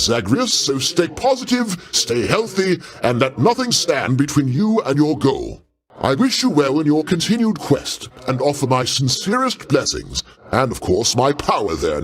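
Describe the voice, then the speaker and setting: An actor playing a proud, paternal older god in a video game, speaking in a pompous, avuncular way with formal, theatrical diction. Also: male voice, mid-range voice. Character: grandiose, paternal older male Greek god, proud, easily offended.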